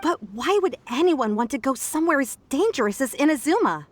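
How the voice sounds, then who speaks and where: A woman's voice: high voice, lively intonation, fairly quick. A woman voicing an eager, gossipy onlooker in a crowd in a video game. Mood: excited.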